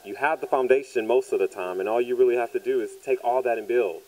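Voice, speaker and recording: A man's voice: mid-range voice, fast, darkish tone, low-quality recording. A man in his thirties from southern Louisiana, a playwright and producer answering interview questions conversationally on a handheld mic. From southern Louisiana, United States. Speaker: stage play producer and writer. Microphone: handheld microphone held by interviewer.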